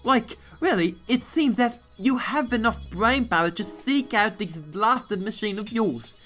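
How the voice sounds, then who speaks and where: A woman's voice: on the high side, expressive, a little slow, a bit bright, soft background music. A woman voicing a haughty, scheming, ladylike pony mare with an affected, dramatic manner in a parody cartoon. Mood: haughty, sly. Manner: affected, dramatic, drawling grand phrases. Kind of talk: fan parody cartoon dub.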